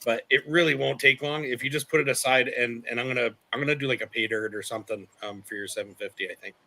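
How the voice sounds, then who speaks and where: A man's voice: medium pitch, fairly even intonation, fast, bright, forceful tone, poor audio. A man in his forties hosting a casual livestream chat, rambling and correcting himself as he goes. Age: 40s. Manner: rambling, self-correcting, laughs often. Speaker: channel host.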